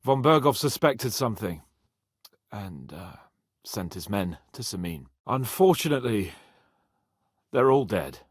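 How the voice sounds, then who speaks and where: Hot-headed young nobleman, wildly expressive. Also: on the low side, breathy edge, dry recording, studio quality, heavily acted. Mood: grave, explaining. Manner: expressive, sarcastic, swearing, quick to exasperation. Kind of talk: video game voice acting.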